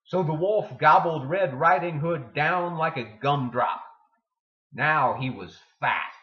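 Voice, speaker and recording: A man giving a dramatic poetry reading with deliberate, theatrical delivery and emphatic pauses. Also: fairly high pitch. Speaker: poetry reader. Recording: dull lo-fi sound in echoey room.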